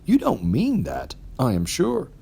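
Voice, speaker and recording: A man playing a cheerful, lively young nephew. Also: mid-range voice, a little slow, creaky, slightly rough, heavily acted. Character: cheerful, warm-hearted young nephew of a miser.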